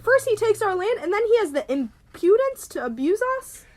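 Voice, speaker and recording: Stage comedy role, played by a woman: headstrong landowner's daughter, high voice, very lively intonation, always ready to argue. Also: moderate pace, heavy background noise. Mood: furious. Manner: emphatic, argumentative, theatrical. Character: stubborn, quarrelsome young landowner's daughter, quick to flare up.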